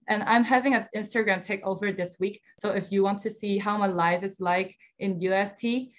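A woman's voice: mid-range voice, steady tone, moderate pace. A young female university student, likely from Hong Kong, casually sharing her student life on a video call, using many "like" and "uh" fillers. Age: young adult. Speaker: student speaker. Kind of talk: admissions info session talk.

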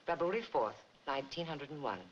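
A woman's voice: low voice, lively intonation, a little fast. An actress playing a grave, wealthy middle-aged woman in a 1940s film, terse, composed and formal. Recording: dull, faintly noisy 1940s film soundtrack.

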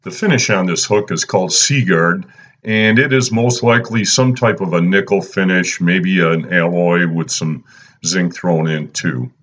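A man, talking casually and unhurriedly through a fishing how-to as a channel host, filling pauses with "uh" and "you know". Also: sits low, a bit bright. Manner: laid-back, lots of "uh" and "you know". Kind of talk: casual instructional voice-over.

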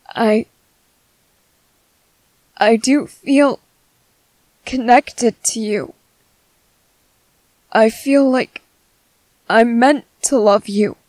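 A tender young merman acted by a woman speaks in a soft, reassuring ASMR roleplay. The voice is fairly high and very slow, with earnest, halting phrases. The sound is dry and close. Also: no echo. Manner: soft, halting, soothing delivery.

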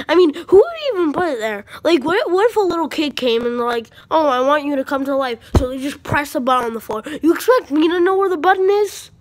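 A woman's voice: high-pitched, voice pushed up. A woman voicing an exasperated, sarcastic toy character ranting indignantly in a skit.

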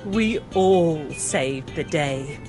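Casting: gentle cartoon goat mum, polite, easily worried, unhurried animated delivery. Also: female voice, sits low, exaggerated voice. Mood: pleased. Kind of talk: children's cartoon game voice acting. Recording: music behind the voice.

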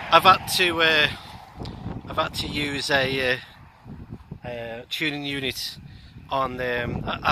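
A man's voice: fairly high pitch, noisy background. A middle-aged man chatting casually and a little hesitantly outdoors, as an amateur radio operator making a vlog. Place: outdoors on open heathland by a road.

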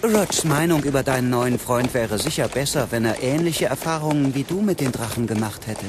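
A man playing a gentle, insecure mage, earnest and apologetic, in a fantasy audio drama. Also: medium pitch, fairly quick, lightly acted. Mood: admiring. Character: gentle, insecure male mage, full of wonder. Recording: clear background noise.

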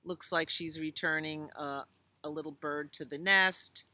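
Bright-voiced older American woman, unhurried storyteller. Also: deep voice, fairly even intonation, no room sound. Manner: simple, explanatory, asking questions of young listeners. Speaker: children's librarian reading storytime. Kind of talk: reading a picture book aloud to young children, with chatty asides. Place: outdoors in a leafy garden.

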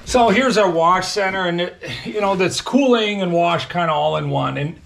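A man's voice: sits high, moderate pace, vocal fry, a bit bright. A man in his thirties, a dairy farmer, explaining things in a casual, plain-spoken, folksy way in a small indoor work room. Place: small tiled milk room with steel tank, indoors.

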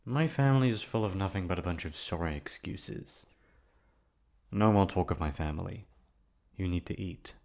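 Guy doing a possessive yandere prince for asmr roleplay, lowish creaky voice, formal stiff wording, lonely and obsessive. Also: moderate pace, darkish tone. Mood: cold, commanding. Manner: formal, stilted diction, swinging from tender to commanding. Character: possessive, lonely, obsessive young prince holding a captive lover.

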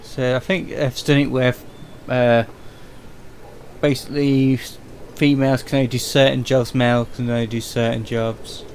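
A man narrates his gameplay in a casual, offhand way. His voice sits at an average pitch, with a faint layer of noise behind it. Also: level tone, moderate pace, darkish tone. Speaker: gaming video host.

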